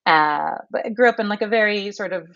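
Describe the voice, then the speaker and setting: A woman's voice: mid-range voice, a little fast, gravelly, a bit bright, dry recording, highs cut off. A woman from Canada answering interview questions in a thoughtful, conversational way, often restarting her sentences. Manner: thoughtful, many fillers and restarts. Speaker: podcast narrator and guest.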